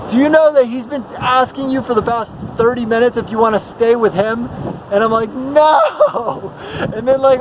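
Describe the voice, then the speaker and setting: A man telling a casual, rambling personal story in an animated, slangy way, acting out bits of dialogue. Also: very high voice, noisy background. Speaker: travel vlogger.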